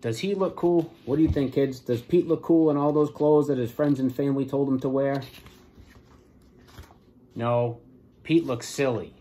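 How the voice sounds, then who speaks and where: A man in his forties, a police lieutenant, reading a storybook and speaking to schoolchildren in a plain, deliberate way. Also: mid-range voice, fairly even intonation, dark tone. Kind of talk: children's storybook read-aloud and address to pupils. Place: small office, indoors.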